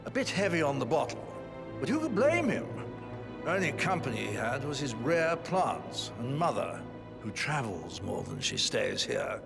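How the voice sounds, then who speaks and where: An actor playing a cynical upper-class British family son in a video game, sardonic, blunt and dismissive. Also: male voice, mid-range voice, very animated, moderate pace, creaky. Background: background music.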